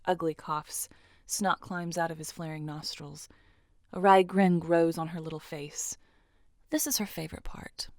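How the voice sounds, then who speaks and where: Low-voiced woman narrator, very dry recording. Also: steady tone, measured pace, dry recording. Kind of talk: scripted dramatic story narration.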